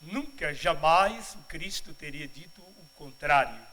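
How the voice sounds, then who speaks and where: Slow, bright-voiced man lecturing. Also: a little high, lively intonation. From Bahia, Brazil. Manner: humorous asides, then measured and didactic. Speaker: congress speaker. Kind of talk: spiritual lecture with jokes. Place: congress auditorium.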